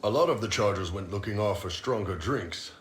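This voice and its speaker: An actor playing a big, jovial mercenary chief with a blunt, casual way of talking. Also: male voice, lowish voice, a little fast, lightly acted. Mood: fond, proud. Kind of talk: video game dialogue acting. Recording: roomy game capture, faint background noise.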